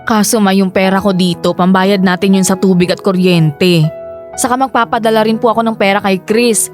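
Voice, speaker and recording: A woman's voice: mid-range voice, steady tone, brisk, a little mellow, faint music behind, close to an everyday voice. A woman playing a weary, dutiful young daughter in a Filipino radio drama, respectful but exasperated and pleading. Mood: worried, protesting. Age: young adult.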